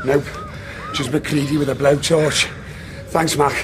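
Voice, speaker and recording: An actor playing a flippant, mocking cook at a polar base in a radio drama. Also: male voice, on the high side, fairly even intonation, unhurried, lightly acted. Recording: clearly noisy background.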